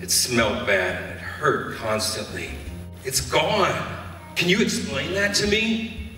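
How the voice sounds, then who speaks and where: Male actor as haunted Roman guard, church drama, forceful and earnest, roomy sound with faint music. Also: on the high side, very animated, takes it slowly, heavily acted. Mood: amazed, intense. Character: shaken, remorseful Roman guard officer. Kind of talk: church stage Easter drama monologue. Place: church hall stage performance. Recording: echoey hall, distant mic, faint music.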